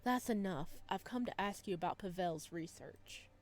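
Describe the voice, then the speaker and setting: A woman voicing a calm, stern interrogator in a visual novel, curt and probing. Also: lowish voice, normal speed, lightly acted. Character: calm, stern, cold-eyed interrogator visiting a prisoner.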